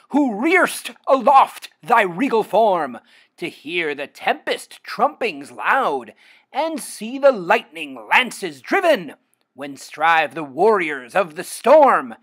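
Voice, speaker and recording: Male poetry reader, theatrical and declamatory, big pitch swings, husky tone. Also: fairly bright tone. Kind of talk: dramatic poem recitation.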